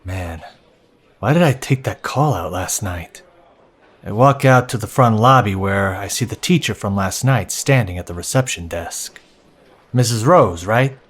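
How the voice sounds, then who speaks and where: An actor playing a weary, sceptical police officer, narrating and acting with a dry manner in an audio drama. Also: male voice, fairly low pitch, expressive, unhurried, slightly rough. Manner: dry, sardonic first-person narration.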